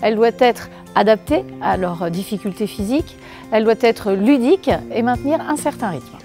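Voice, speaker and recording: A female fitness instructor explaining her job in a promotional careers video, voice on the high side, music playing behind her. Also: normal speed. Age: 40s. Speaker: senior fitness instructor being interviewed. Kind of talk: prepared testimonial, explanatory.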